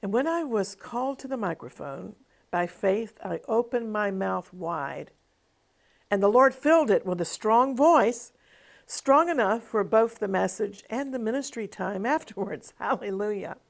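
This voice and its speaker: A woman's voice: medium pitch, animated, measured pace, creaky. A middle-aged woman television minister giving a devotional teaching with a personal testimony, in an earnest, emphatic preaching cadence.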